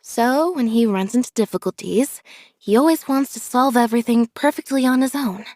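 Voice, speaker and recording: A woman voicing a reserved, observant young female magician's assistant in a video game, speaking in a flat, measured, deadpan way. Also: a little high, no echo, natural voice. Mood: quietly thoughtful. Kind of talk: scripted video game character voice lines.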